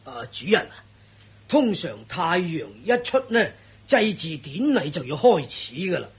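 A man's voice: high voice, very animated, a little slow, heavily acted. A man with a Hong Kong Cantonese accent playing a dutiful, deferential household steward in a radio drama, speaking ceremoniously.

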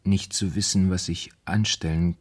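A troubled, fearful man in a radio crime play. His voice is low and husky. He speaks haltingly, repeating words as he confides his dread. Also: fairly even intonation. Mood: anxious, tormented. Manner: hesitant, halting, repeating himself. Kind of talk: German radio crime drama acting.

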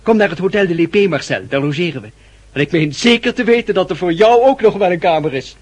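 An actor playing a talkative French gentleman and loyal friend in a radio drama, telling things emphatically in a husky voice. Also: high-pitched, fast. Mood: reassuring. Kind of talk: period radio drama acting.

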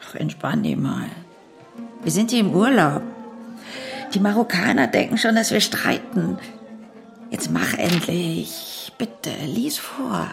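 Teasing female partner in radio drama, unhurried delivery, notable vocal fry, faint music behind. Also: fairly low pitch, wildly swooping pitch, heavily acted. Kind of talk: naturalistic radio drama dialogue.

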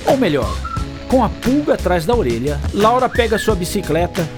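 A man's voice: high-pitched, sing-song, moderate pace, over music. A man narrating a children's radio drama as a playful, theatrical storyteller. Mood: playful storytelling. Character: lively storyteller of a girl's adventures.

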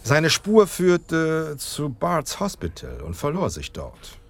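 A man acting in a German radio play as an analytical, dryly ironic detective who speaks in measured, formal, condescending phrases. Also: fairly high pitch, wildly swooping pitch, full character voice. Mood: measured, assured.

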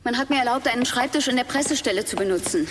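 A woman's voice: a little high, fast, big-room sound, noisy background, lightly acted. A woman playing an inquisitive, modest investigator in a conversational radio drama scene. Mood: modest, confiding.

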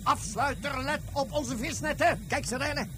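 An actor playing an excitable, bossy raid leader who gives urgent clipped commands and reports in a science-fiction radio play. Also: male voice, very high-pitched, normal speed, slight background noise. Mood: urgent, excited.

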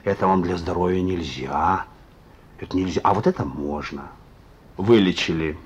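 A man's voice: sits low, normal speed, a little hiss or hum, lightly acted. A male actor in a radio play as a sullen, wry young thief, curt and slangy, on a muffled recording. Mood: wry, needling. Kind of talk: Russian radio play acting.

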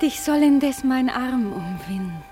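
A woman's voice: high voice, animated, measured pace. An actress playing a doting, seductive fairy queen in a classic radio play, speaking verse in a lyrical, caressing, theatrical manner. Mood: tender, lulling. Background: music.

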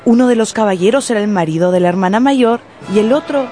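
A woman narrating a scripted radio drama as storyteller, playfully, with dramatic pauses. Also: fairly high pitch, dark tone, faint music behind.

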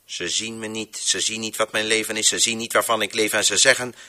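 An actor playing a defiant, embittered man who controls the voices in a radio play, speaking in an emphatic, measured monologue. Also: fairly low pitch, steady tone, pushed and bright. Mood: indignant. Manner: emphatic, measured, swinging between proclamation and muttering.

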